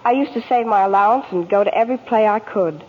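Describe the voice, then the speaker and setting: A woman's voice: mid-range voice, fast talker, a little hiss or hum. A young woman from New York giving a scripted, genteel 1940s radio testimonial as a guest actress. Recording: dull old radio, long hall reverb.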